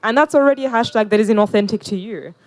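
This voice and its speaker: Young woman panel guest, lively conversational delivery, handheld mic, outdoors. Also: medium pitch, racing. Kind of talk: casual panel discussion. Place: outdoor park pavilion.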